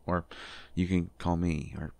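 Man acting as a slow, deep-voiced, bashful boyfriend. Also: little pitch movement, vocal fry, dry recording. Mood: tender, halting. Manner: halting, stammering, gently teasing. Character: affectionate, bashful man comforting his pregnant partner. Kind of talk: intimate roleplay audio acting, one side of a conversation.

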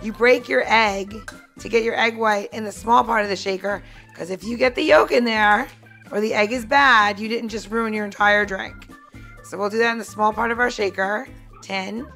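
A woman's voice: medium pitch, fairly even intonation, normal speed, fairly bright tone, faint music behind. A woman co-hosting a podcast as bartender, casually talking listeners through a cocktail demonstration in a chatty way. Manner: chatty, talks the listener through each step.

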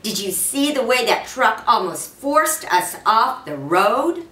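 Stubborn storybook dad, voiced by a woman, emphatic and animated, in a roomy space. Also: fairly high pitch, takes it slowly, full character voice. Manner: firm, emphatic. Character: stubborn, tired father defending the hotel. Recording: roomy, distant mic with faint noise.

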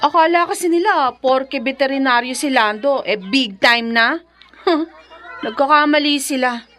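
Jealous, fiery high-voiced Filipina girlfriend. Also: measured pace, fairly bright tone. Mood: bitter, aggrieved. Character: jealous, fiery live-in girlfriend, fed up and suspicious. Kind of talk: radio drama acting.

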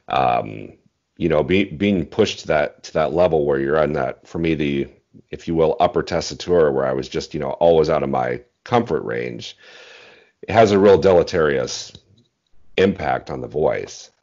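A man in his forties chatting as an interview guest about singing, thinking aloud in measured phrases on a video call. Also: extremely low pitch, a little mellow. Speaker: interview guest, bass singer. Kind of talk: casual interview chat about singing technique. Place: small indoor room at home, video call. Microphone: earphones on a video call. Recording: dull, muffled video-call audio.

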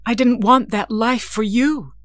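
A woman's voice: on the high side, a little slow, dry recording, close to an everyday voice. Woman playing a guarded, commanding mutant mother. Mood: guarded, regretful. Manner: clipped, authoritative. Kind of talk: audio drama acting. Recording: music under the dialogue.

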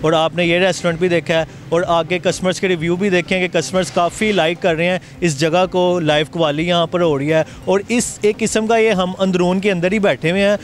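A man's voice: high voice, fairly even intonation, brisk, few pauses. A man with a Pakistani accent presenting and interviewing on location as a food show host, speaking into a handheld microphone. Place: outdoor restaurant at night. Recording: clear street and traffic noise.

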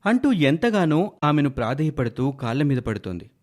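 A man's voice: fairly high pitch, big pitch swings, moderate pace, darkish tone. A man narrating a scripted moral story in a dramatic storytelling style.

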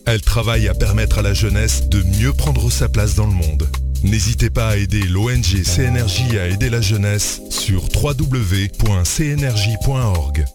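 A man reading a formal sponsor announcement in an even voice. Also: fairly low pitch, normal speed, over loud music.

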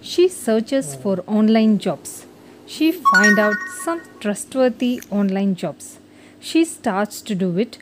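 A woman's voice: medium pitch, animated, slow pace, very noisy. A woman reading a scripted moral story for children as its narrator.

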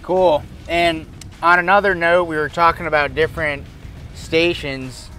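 A man's voice: a little high, steady tone, a little slow, bright, forceful tone. A man in his thirties hosting casually, presenting outdoors on a lapel mic. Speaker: host. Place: outdoors at a marina dock. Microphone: clip-on lapel mic.

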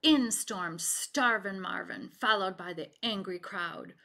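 A middle-aged woman reading a children's story aloud with deliberate, dramatic expression. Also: mid-range voice, gravelly, pushed and bright. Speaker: picture book reader. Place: home kitchen, indoors.

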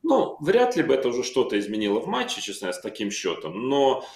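A middle-aged man on a video call from home, calmly walking through a chess game move by move with many fillers. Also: medium pitch, fast talker. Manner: calm, reflective, calling out moves with many fillers. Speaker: chess player recalling his own game. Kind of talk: analytical interview talk. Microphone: video call microphone. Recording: roomy video-call audio.

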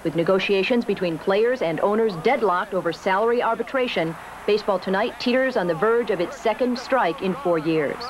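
A woman's voice: mid-range voice, fairly quick, few pauses, noisy background. A woman narrating a scripted network news report as a correspondent.

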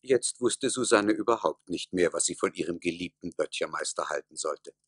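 A man's voice: sits low, expressive, normal speed, slightly rough, dry recording, clean studio sound. A man narrating a satirical folk tale as the storyteller in a scripted radio play.

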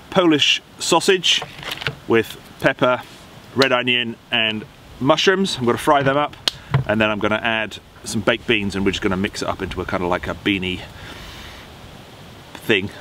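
A man's voice: medium pitch, moderate pace. A middle-aged man chatting casually while cooking lunch outdoors in damp woodland, recorded on a camera mic close to his face. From England. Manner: relaxed, chatty, laughs easily. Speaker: outdoor vlogger. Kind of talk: casual chat. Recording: outdoor wind and rain noise.

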